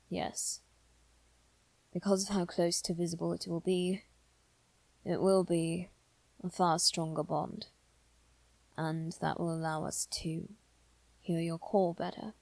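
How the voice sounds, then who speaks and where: A woman voicing a gentle, formal male demon who is devoted and possessive, speaking softly and deliberately in a scripted ASMR roleplay. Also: on the low side, steady tone, gravelly, dry recording. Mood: gentle, explaining. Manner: soft, measured, deliberate, sometimes near whisper.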